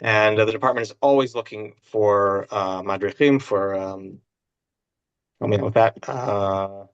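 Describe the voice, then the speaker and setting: Man, low voice, smooth and resonant, halting panel answers, muffled lo-fi audio. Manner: halting, reads questions aloud then answers. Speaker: family doctor on a webinar panel. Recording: dull lo-fi call audio.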